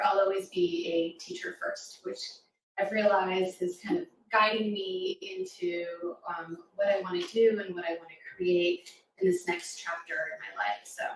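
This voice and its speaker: A middle-aged American woman talking informally to children like a teacher, often checking in with a questioning "right?". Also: mid-range voice. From New York City suburbs, United States. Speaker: children's author and former teacher. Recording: echoey room, distant mic, faint noise.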